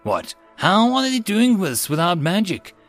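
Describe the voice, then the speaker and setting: A man's voice: high voice, sing-song, unhurried, voice pushed up, full character voice. A man reading a story aloud and voicing an alien soldier who is amazed by human technology. Faint music plays under the reading. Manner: amazed, wondering. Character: awestruck furred alien male soldier.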